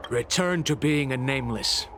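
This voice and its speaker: A man's voice: medium pitch, moderate pace, a bit bright, a little hiss or hum, natural voice. A man playing a stern, guarded official in a video game, formal and admonishing. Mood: stern, warning. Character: stern, guarded male official of an alchemy guild.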